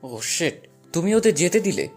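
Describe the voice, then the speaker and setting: A man's voice: high voice, big pitch swings, moderate pace, soft background music. A man playing a bold, urgent young man on a rescue mission in an audio story. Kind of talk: Bengali audio story acting.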